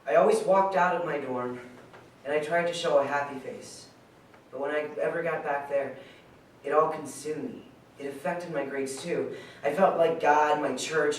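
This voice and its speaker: A man's voice: on the high side, close to an everyday voice. Guy acting troubled young student doing a confessional stage monologue, wounded, far from the mic w/ room noise. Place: live staged reading in a large room. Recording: echoey, noisy hall from far off.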